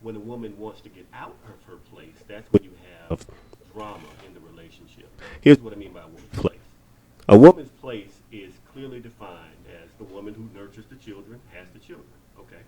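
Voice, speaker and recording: A man's voice: lowish voice, warm tone. A man in his forties giving opinions on a panel in an emphatic, declarative way. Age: 40s. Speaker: panel guest. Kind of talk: casual opinionated panel talk. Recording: clearly noisy room recording.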